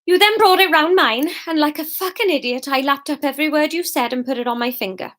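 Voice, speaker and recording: Lady doing a high voiced welsh shop woman for an audiobook, scorned and fierce. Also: a little fast, fairly bright tone. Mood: angry, cold. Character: Fiona, scorned shop worker, fierce and wounded. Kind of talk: audiobook character dialogue.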